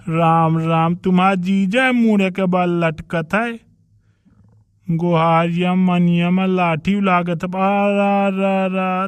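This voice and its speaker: A quarrelsome rustic man from a comedy cartoon , acted out by a man, speaks in a high, clean voice with a Bundelkhandi accent. He scolds and blusters in a drawn-out delivery, recorded dry and close. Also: clean tone, dry recording. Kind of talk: dialect comedy cartoon dialogue.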